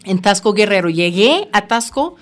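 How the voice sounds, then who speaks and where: Jamaican woman explaining with steady encouragement. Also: medium pitch, normal speed. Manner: encouraging, explanatory, frequent tag questions. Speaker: language school representative. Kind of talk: conversational interview.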